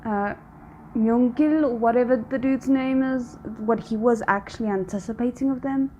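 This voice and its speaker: Casting: female reaction host, fairly high voice, invested and thinking aloud, faint noise behind her. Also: moderate pace, a little mellow, dull audio. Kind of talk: casual reaction commentary to a drama episode.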